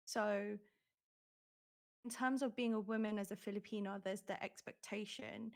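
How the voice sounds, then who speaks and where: A woman co-hosting a casual panel podcast, talking in an earnest, drawn-out way with frequent restarts. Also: mid-range voice, steady tone, vocal fry. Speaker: podcast co-host.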